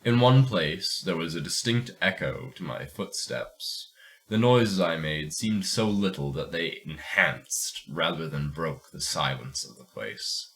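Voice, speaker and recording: A man's voice: sits low, animated, measured pace, fairly bright tone. A man co-hosting a podcast, moving between casual chat and dramatic reading of a story.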